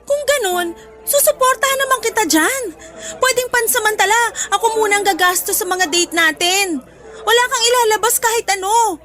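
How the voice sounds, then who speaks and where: Hurt suspicious girlfriend type in a radio drama, super high bright voice, pleading and insistent. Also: moderate pace. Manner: insistent, emotional questioning. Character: hurt, suspicious young woman pleading with her suitor.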